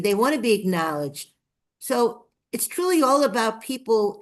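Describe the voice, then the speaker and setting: An older woman with a Chicago accent telling her story as an interview guest, effusive and emphatic, repeating phrases for effect. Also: medium pitch. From Chicago, United States. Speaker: guest, nonprofit TV promoter. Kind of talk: enthusiastic personal storytelling in an interview.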